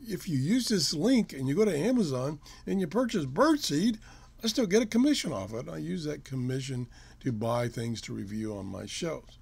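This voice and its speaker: A man's voice: a little high, sing-song, normal speed, creaky, a little mellow, breathy edge, no echo. A middle-aged man hosting his home radio show, casually talking through test results with fillers and self-corrections. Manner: plain-spoken, rambling with fillers and self-corrections. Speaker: radio show host reviewing gear. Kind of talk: casual product review talk.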